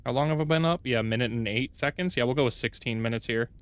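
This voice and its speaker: A man with a deep voice streaming a strategy game from home, chatting casually, thinking aloud and correcting himself as he plays. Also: fast, clean tone. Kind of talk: casual gameplay livestream chat. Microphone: desk mic with noise gate.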